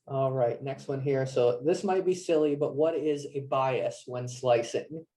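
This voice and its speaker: Mellow male moderator reading questions. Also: medium pitch, unhurried.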